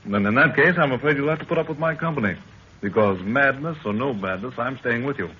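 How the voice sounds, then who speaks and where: An actor playing a loyal, polite but sceptical young companion in a 1930s radio adventure, earnest, formal and exclamatory. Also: male voice, mid-range voice, fast talker, natural voice. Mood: concerned, loyal. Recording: dull, noisy 1930s radio recording.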